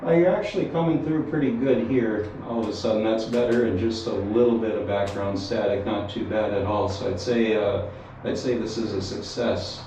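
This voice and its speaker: Echoey, mellow low-voiced man, casual. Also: fairly even intonation, normal speed. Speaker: reviewer testing walkie-talkie radios. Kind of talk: casual field-test narration. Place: inside a metal fire tower with windows. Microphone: action camera. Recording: roomy echo, distant mic, faint noise.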